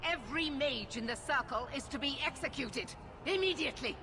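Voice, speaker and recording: A woman's voice: high voice, expressive, normal speed. Middle-aged female military zealot, fantasy game drama, stern authority, noisy track behind. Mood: cold, commanding. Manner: commanding, severe, formal.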